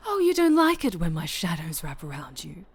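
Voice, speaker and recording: A woman playing a sinister, obsessive, possessive female superhero in an ASMR roleplay, halting and menacing, often dropping into whispers. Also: on the low side, huge pitch swings, breathy edge, exaggerated voice. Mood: cold, menacing.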